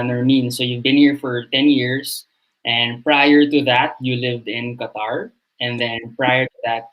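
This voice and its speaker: Man, mid-pitched voice, interview host, halting, lots of fillers, video call. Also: fairly bright tone, low-quality recording.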